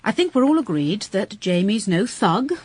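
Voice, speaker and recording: An actress playing a shrewd, practical 1930s private detective in a British radio drama, English and briskly persuasive. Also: female voice, mid-range voice, big pitch swings, darkish tone. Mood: brisk, reasoning.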